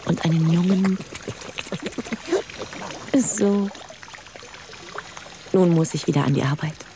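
A woman's voice: lowish voice, slow pace, background noise. An actress playing a resolute, tender young fisherman's wife in a children's radio drama, speaking earnestly. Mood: earnest, hopeful.

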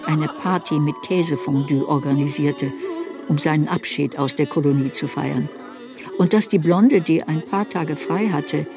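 A woman playing an older writer looking back on her youth, narrating a literary radio drama in a reflective, deliberate way. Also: sits low.

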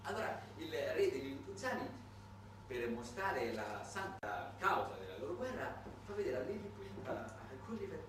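Animated man storytelling in an echoey room. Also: fairly high pitch, normal speed. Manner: animated, conversational, dramatic swings in volume. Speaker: solo theatre performer and narrator. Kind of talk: theatrical monologue, satirical storytelling. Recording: very echoey, distant, noisy stage recording.

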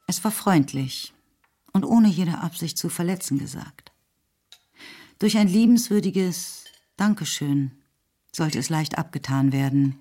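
A woman's voice: deep voice, a little slow, warm tone. A woman reading scripted literary narration as the narrator of a radio play. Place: radio studio. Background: music.

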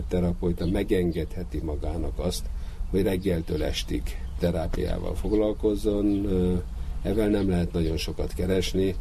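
A man answering in an interview, halting and hesitant as he goes. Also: low voice, mellow, a little hiss or hum. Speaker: therapy practitioner being interviewed. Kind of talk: spontaneous interview talk.

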